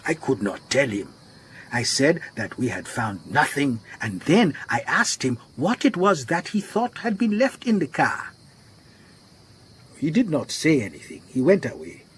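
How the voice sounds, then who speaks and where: An actor playing a worried, earnest garage owner in a radio drama, with a Southern African accent, speaking gravely and deliberately. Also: male voice, medium pitch, sing-song, heavily acted. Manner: grave, deliberate, repeats phrases for emphasis.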